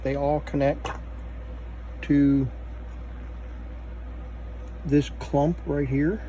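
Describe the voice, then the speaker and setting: A man with a relaxed, mellow voice pitched a little high talks through a hands-on repair. He goes slowly and haltingly, muttering his thoughts aloud as he works. There is faint noise behind him. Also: highs cut off. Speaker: vintage electronics repair video host. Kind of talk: unscripted hands-on repair commentary.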